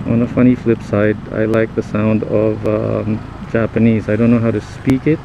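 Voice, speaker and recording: A fairly low-voiced man talks to himself on his motorcycle, thinking aloud in loose, halting English full of fillers and restarts. His helmet mic catches him with loud road and traffic noise roaring behind him. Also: level tone, vocal fry. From Philippines. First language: Tagalog. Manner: rambling, halting, reflective, many fillers and restarts. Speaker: podcast host. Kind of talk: casual spoken monologue while riding. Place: on a motorcycle in city traffic, outdoors. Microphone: helmet-mounted mic.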